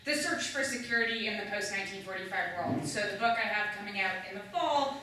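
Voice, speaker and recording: A woman's voice: mid-range voice, lively intonation, a little fast, bright, forceful tone, a little hiss or hum. A female historian from Canada speaking as a guest at an academic panel, fluent and lightly emphatic. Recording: echoey room, far from the mic.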